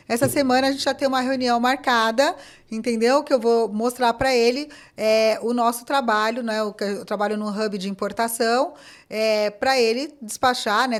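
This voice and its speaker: A woman, a podcast guest telling stories in a casual, animated way and acting out quoted talk. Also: on the high side. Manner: animated, acts out quoted dialogue, many fillers. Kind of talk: casual interview storytelling.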